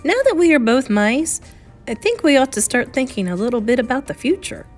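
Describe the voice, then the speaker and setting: A woman's voice: high-pitched, big pitch swings, brisk, soft background music, pitched above the performer's own voice. A woman voicing an earnest, sensible young boy turned mouse, matter-of-fact and reassuring, in a storybook reading.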